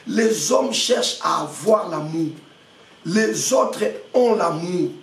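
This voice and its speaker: A male pastor with a head cold gives a sermon, halting often and repeating words, and puts rhetorical questions to his listeners. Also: high voice, animated. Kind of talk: prayer-meeting sermon. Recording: echoey room, mic at a distance, faint background noise.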